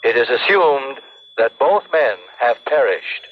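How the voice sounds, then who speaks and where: An actor playing a formal radio newsreader giving bulletins through a radio filter. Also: male voice, medium pitch, animated, a little slow. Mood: urgent. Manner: formal, clipped announcing. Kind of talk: old radio news bulletin acting. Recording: tinny, noisy radio bulletin sound.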